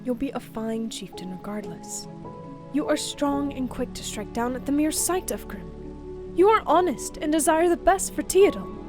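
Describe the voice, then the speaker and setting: A woman's voice: high-pitched, animated, normal speed. A woman playing a devoted, protective young rabbit-eared bride-to-be in an audio drama, speaking with earnest emotion. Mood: heated, pleading. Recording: music and rain behind the voice. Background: music and rain.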